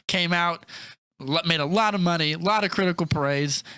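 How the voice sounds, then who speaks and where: A man's voice: on the high side, moderate pace, bright, forceful tone, clean tone, dry recording. A man hosting a casual movie chat podcast, blunt and opinionated, swearing freely as he talks. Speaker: podcast host.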